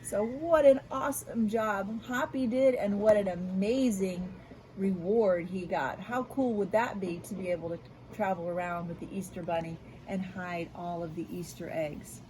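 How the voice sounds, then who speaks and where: An American woman, a school media specialist, reading aloud and teaching young children in an expressive, encouraging, question-asking way. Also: mid-range voice, a little hiss or hum, low-quality recording. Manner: expressive, encouraging, asks questions and pauses for answers. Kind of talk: read-aloud storytelling and lesson for young children.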